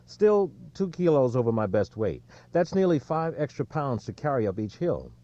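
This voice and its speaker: Weary male champion cyclist, voiced by a male audiobook reader: self-deprecating, plainspoken, relaxed dark tone, slightly lo-fi. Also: mid-range voice, normal speed, no echo, voice pushed up, close to an everyday voice. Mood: earnest. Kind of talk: quoted speech in audiobook narration. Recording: dull, lo-fi audio.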